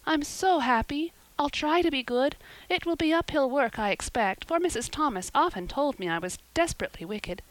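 A woman's voice: fairly high pitch, big pitch swings, brisk, dry recording, voice pushed up, poor audio. A woman voicing an eager, dramatic orphan girl in an audiobook, speaking in an earnest, effusive way. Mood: tearful joy. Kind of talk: audiobook character voice.

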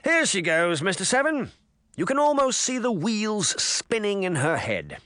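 Male narrator doing a pompous bully mayor: high, swooping, condescending, in a dry close recording. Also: sing-song, normal speed, fairly bright tone, no room sound. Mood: sneering.

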